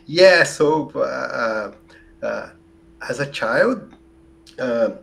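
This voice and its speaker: A man in his forties with a Brazilian Portuguese accent, answering interview questions in a hesitant way full of fillers and restarts, on a boom-arm studio mic in a home office. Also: lively intonation, extremely slow, vocal fry, a bit bright. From southern Brazil. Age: 40s. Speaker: guest. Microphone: large studio mic on a boom arm.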